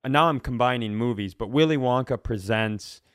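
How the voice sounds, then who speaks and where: A man chats casually as a comedy podcast co-host. His voice is smooth and clear at a middle pitch, recorded dry and close. He rambles through explanations in an animated, mock-serious way. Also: moderate pace, no room sound.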